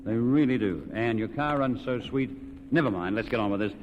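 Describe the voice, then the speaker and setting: A man's voice: medium pitch, brisk, highs cut off. A man with an Australian accent hosting an old-time radio quiz show, chatty and folksy, bantering and plugging the sponsor. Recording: vintage radio recording, echoey hall, background noise.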